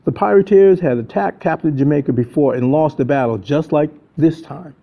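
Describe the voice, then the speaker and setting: A man's voice: fairly high pitch, moderate pace, vocal fry. An American man in his seventies reads a story aloud as a storyteller, in dramatic first-person narration. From United States (New England). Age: 70s. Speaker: storyteller reading own book. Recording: dull lo-fi audio.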